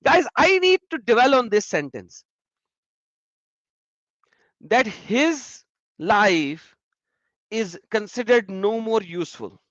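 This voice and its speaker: A man hosting a casual discussion, animated and repeating his phrases. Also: high-pitched, takes it slowly, pauses often, no room sound. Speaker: host. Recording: muffled lo-fi sound.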